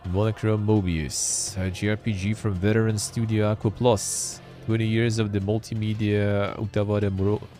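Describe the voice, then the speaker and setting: A man's voice: on the low side, level tone, normal speed, few pauses, mellow, faint music behind. A man hosting a live stream, chatting offhandedly and reading text aloud with wry asides. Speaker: streamer and show host. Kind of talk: casual live-stream commentary.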